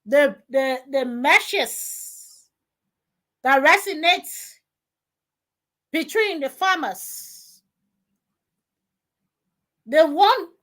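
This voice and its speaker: A woman hosting a live radio talk show, her voice rough from a cold, talking haltingly with restarts and fillers. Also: high voice, lively intonation, a bit bright. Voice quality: rough, strained voice. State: has a cold. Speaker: radio host. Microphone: studio mic.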